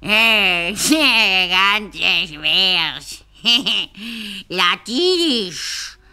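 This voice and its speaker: A woman's voice: medium pitch, expressive, drawn-out words, pushed and bright, full character voice. A woman voicing a drunken, gloating evil wizard with slurred, drawling Swiss German in a storybook tale.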